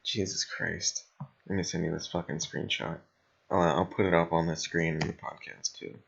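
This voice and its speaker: Deep, gravelly young man, casually swearing. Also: level tone, normal speed, a bit bright, lo-fi sound. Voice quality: slightly raspy, gravelly. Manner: rambling, blunt. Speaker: podcast co-host. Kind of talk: casual chat, heavy swearing.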